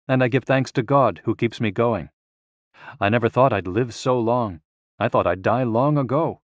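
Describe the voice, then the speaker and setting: A man's voice: lowish voice, dry recording, close to an everyday voice. Man reading as a contented, reminiscing very old woman. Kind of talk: audiobook quotation.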